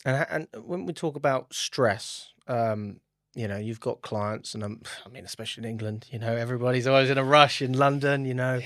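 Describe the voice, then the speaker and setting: Male podcast host, mid-pitch, dry close sound, loose conversational questions. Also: brisk, a bit bright, dry recording. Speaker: interviewer host. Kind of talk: casual interview chat.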